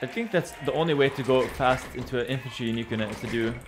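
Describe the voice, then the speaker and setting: Young man streaming, voice a bit raspy. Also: mid-range voice, very fast, noisy background. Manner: relaxed, thinking aloud, reading chat. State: slightly raspy voice. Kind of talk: casual gameplay commentary. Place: small home room, indoors. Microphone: headset.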